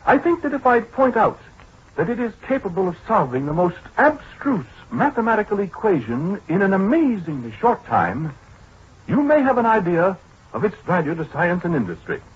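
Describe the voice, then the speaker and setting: An actor playing a proud, pedantic scientist in a 1940s radio drama, lecturing precisely with small hesitant interjections. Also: male voice, high voice, big pitch swings, moderate pace, breathy edge, full character voice. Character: proud, pedantic male scientist showing off a giant computer. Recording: dull, muffled 1940s radio broadcast.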